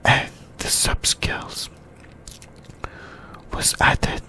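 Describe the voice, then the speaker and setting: A YouTuber whispering an ASMR anime discussion in a halting way, in short broken phrases. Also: sharp tone. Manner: whispering throughout.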